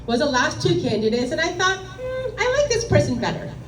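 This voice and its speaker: A middle-aged woman from South Korea with a Korean accent, giving a loud, emphatic presentation to a meeting audience. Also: sits high, sing-song, fairly quick. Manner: loud, emphatic, direct and plain-spoken. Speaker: guest speaker. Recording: echoey room from a distance with faint noise.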